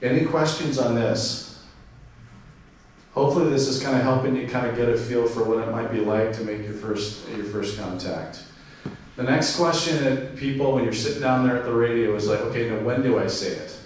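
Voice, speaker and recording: A man's voice: mid-range voice, moderate pace. A man gives an informal club talk, walking through each step and spelling call signs letter by letter in radio phonetics, with plenty of ums. He sounds far from the microphone in a very echoey room. Faint background hiss sits under his voice. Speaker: club presenter. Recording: very echoey room, distant mic, faint noise.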